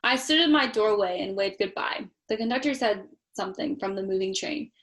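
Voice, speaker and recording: A woman's voice: mid-range voice, a little fast, fairly bright tone. A woman reading a children's picture book aloud expressively, with chatty asides spoken directly to young listeners. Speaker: storytime reader.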